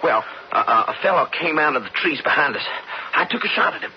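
A dull, slightly hissy old radio drama recording of a man as a bumbling young frontier guard. His fairly high voice jumps around as he stammers deferentially. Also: animated, fairly quick, lightly acted. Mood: flustered, apologetic. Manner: hesitant, stammering. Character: clumsy, nervous young stagecoach guard, deferential. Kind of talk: radio western drama acting. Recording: dull, lightly noisy old radio broadcast.

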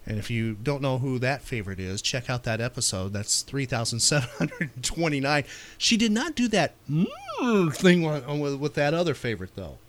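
A man's voice: mid-range voice, wildly swooping pitch, normal speed, slight background noise. A man hosting a podcast, chatting casually and making show announcements. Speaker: podcast host.

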